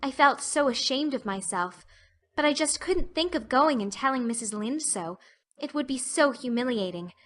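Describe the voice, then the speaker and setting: A woman acts out an imaginative little girl in an audiobook drama. The voice is clear-edged, bright and somewhat high. She is earnest and theatrical, with a plaintive, solemn turn to her wordy speech. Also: animated, normal speed. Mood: wistful, earnest.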